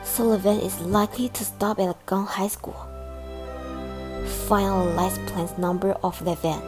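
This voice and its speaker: A woman playing a steady, helpful senior police detective in a student English radio drama, with a Taiwanese accent. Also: medium pitch, measured pace, close to an everyday voice. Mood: serious. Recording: voice over clear background music.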